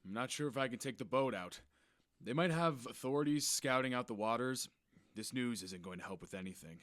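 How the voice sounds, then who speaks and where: A man's voice: medium pitch, a little fast, fairly bright tone, natural voice. A man playing a weary, caring middle-aged fisherman father, resigned and understated, in an audio drama. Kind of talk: fiction podcast audio drama acting.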